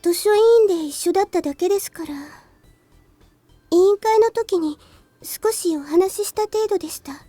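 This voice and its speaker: A polite, sweet schoolgirl from a visual novel, with a very high, mellow voice. She speaks briskly with a teasing streak. The recording is dry and close. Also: no echo, soft background music. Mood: polite, earnest. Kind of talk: Japanese visual novel voice acting.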